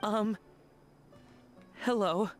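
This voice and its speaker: A woman's voice: medium pitch, very slow, loud music behind the voice. A woman voicing a timid, apologetic young employee in a video game, with a hesitant, meek manner. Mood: nervous.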